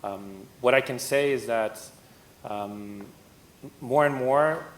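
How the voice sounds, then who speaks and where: A man from Portugal speaking as a panelist at a conference, relaxed and conversational. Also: slow. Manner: relaxed, conversational, laughs often. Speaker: panelist, fund partner. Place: conference stage. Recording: echoey hall with faint rumble.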